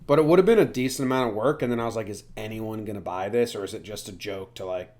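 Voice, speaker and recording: A man's voice: on the low side, quick pace, a little mellow. A man hosting a sports podcast in a casual, chatty style. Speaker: podcast host.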